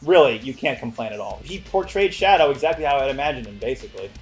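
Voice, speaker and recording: A man's voice: medium pitch, lively intonation, fast talker, soft background music. Male co-host for a casual review show, conversational, playful and emphatic. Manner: emphatic, playful, swears freely. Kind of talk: casual film review chat.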